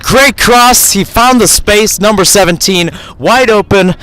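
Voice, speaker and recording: A man's voice: high voice, measured pace, bright, forceful tone, slight background noise. A man giving live radio play-by-play of a soccer match from outdoors at the field, calling it in short running phrases with asides. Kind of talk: live radio sports commentary.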